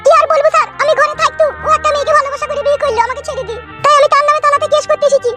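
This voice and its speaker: A woman's voice: extremely high pitch, fairly even intonation, racing, bright, forceful tone, soft background music. A woman voicing an aggrieved young village wife who pleads and complains in a cartoon dub. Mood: complaining.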